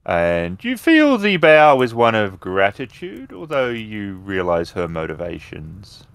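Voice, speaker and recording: A man's voice: on the low side, huge pitch swings, measured pace, a bit bright, clear, resonant tone. A man improvising narration as the storyteller of a tabletop role-playing game.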